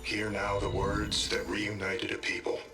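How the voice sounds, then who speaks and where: A man's voice: fairly low pitch, little pitch movement, a little slow, lightly acted. An actor playing a formal male announcer who introduces an archival recording in a declaiming tone. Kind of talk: broadcast announcement. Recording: roomy, distant, loud music.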